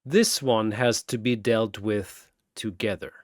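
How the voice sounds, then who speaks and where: A man's voice: on the low side, fairly even intonation, slow pace, dry recording, clean studio sound. A man narrating a scripted battle story dramatically, in theatrical, short, punchy phrases.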